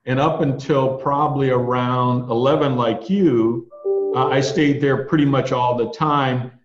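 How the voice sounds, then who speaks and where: A man's voice: mid-range voice, fairly even intonation, music in the background. Older man telling stories in a roomy space. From USA, Ohio and Alabama. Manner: measured, thoughtful storyteller. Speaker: attorney guest. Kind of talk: reflective storytelling interview. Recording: dull, roomy recording.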